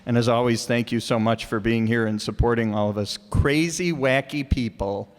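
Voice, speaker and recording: A man's voice: medium pitch, normal speed. A man making an informal announcement to an audience as a community theater member. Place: community auditorium. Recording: echoey auditorium reverb.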